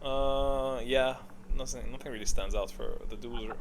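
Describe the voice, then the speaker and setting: A man's voice: mid-range voice, steady tone, normal speed, slight background noise. A man hosting a video in casual, unscripted gaming commentary, speaking in a blunt, opinionated way. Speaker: video host ranking game voice lines.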